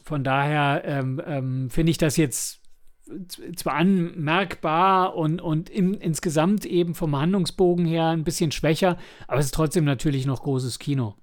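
High-pitched man in casual review chat. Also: steady tone, moderate pace. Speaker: podcast co-host and audio drama maker.

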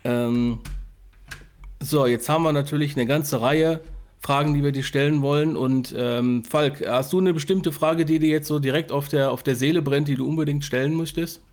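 Casual male podcast host, slightly high voice. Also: steady tone, moderate pace, a little mellow. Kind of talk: casual chat, interviewing.

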